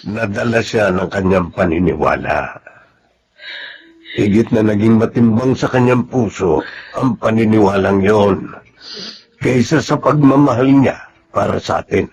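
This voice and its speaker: A man's voice: fairly low pitch, measured pace, long pauses, a little mellow, breathy edge. A man acting as a grave, hurt middle-aged Filipino father in a radio drama, solemn and consoling in manner. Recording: faint background noise and ambience.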